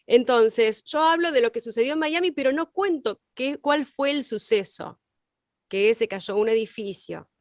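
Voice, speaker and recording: A woman with a high voice explains a point in a class. She is didactic, working through examples and checking that listeners follow. The sound is close and dry. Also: moderate pace, no echo. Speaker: co-teacher of a radio class.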